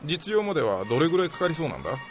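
Man acting a gruff boss, lively intonation. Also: fairly high pitch, very fast, slight background noise. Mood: impressed, curious. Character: gruff, skeptical male lab chief, easily impressed. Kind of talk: comedic radio drama acting.

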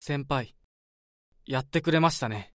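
An actor playing a cornered, resentful young junior office worker in a radio drama, speaking coldly and then spitefully. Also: male voice, mid-range voice, measured pace, no room sound, natural voice. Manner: cold, then spiteful and accusing.

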